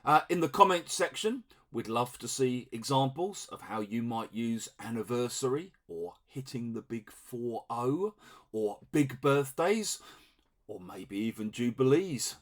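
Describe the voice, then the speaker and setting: A middle-aged man explaining vocabulary to learners in a relaxed, conversational way, acting out short example dialogues. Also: mid-range voice, a little slow. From England. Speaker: English teacher.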